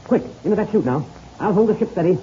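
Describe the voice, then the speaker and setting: Brisk commanding American pilot, old radio serial. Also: male voice, sits high, animated, dark tone. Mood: urgent, commanding. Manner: brisk, authoritative, giving orders. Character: calm, commanding veteran airplane pilot, older comrade. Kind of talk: 1930s radio serial acting. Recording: muffled old radio recording with noise.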